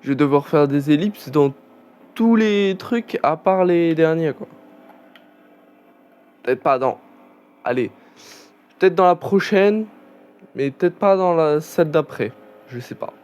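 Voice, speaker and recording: Slow, rambling young man chatting. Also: fairly high pitch, animated, darkish tone, clean tone. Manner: rambling, chatty with many fillers and restarts. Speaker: gaming channel host. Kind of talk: casual gameplay commentary.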